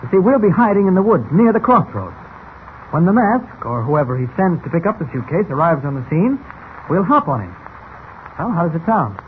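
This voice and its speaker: An actor playing a calm, decisive newspaper reporter with brisk authority in an old radio serial. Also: male voice, a little high. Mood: determined. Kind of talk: 1940s radio serial acting. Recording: tinny, band-limited old radio with hiss.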